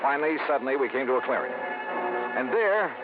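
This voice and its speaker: An actor playing a tough, decisive American investigator in an old-time radio drama, with clipped, emphatic delivery. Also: male voice, mid-range voice, lively intonation, a little fast, lightly acted. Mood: wry, dramatic. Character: tough, decisive hardboiled male insurance investigator, narrating. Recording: tinny vintage radio recording, music.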